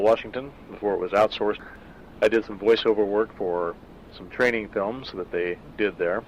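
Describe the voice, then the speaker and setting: A man in his sixties, an author reading his own horror story and then chatting in a measured, self-deprecating way. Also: on the low side, a little hiss or hum, rough recording. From California. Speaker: author and narrator. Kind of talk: scripted horror narration and casual backstage talk.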